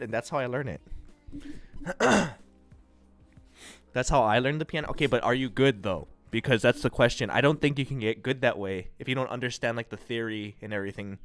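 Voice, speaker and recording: A congested, nasal-sounding man from the United States hosting a livestream, chatting casually and rambling through viewers' comments with a relaxed, self-deprecating manner. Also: medium pitch, smooth, dry recording. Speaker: livestream host. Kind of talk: casual chat reading and answering viewer chat.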